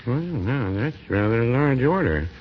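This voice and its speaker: An actor playing a mild, cautious American druggist with a hesitant, deadpan way of talking, in 1940s radio comedy style. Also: male voice, medium pitch, normal speed, close to an everyday voice. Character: mild, cautious, dry small-town druggist. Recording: muffled, noisy 1940s radio broadcast.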